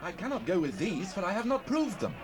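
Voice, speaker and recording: An actor playing a bold young shepherd full of faith, speaking earnestly and fervently in a dramatized Bible recording. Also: male voice, high-pitched, big pitch swings, brisk. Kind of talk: dramatized Bible audio acting. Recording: faintly noisy cassette rip.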